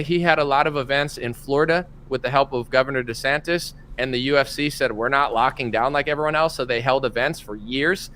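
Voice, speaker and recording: A man hosting a casual commentary chat, animated and opinionated. He acts out other people's words as he goes. His voice sits a little high, with faint background noise. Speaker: podcast host.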